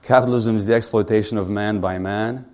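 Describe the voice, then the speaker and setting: A man's voice: lowish voice, steady tone, brisk, a little mellow. A man in his sixties from Hungary, a physician giving a public speech in a wry, conversational way and putting questions to the audience.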